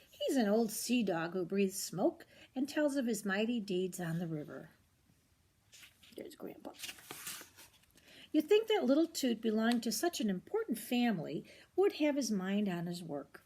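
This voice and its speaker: An older woman reads a children's storybook aloud in an expressive, grandmotherly way, with short asides to the listener. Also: on the high side, measured pace. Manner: expressive, grandmotherly, commenting on the pictures.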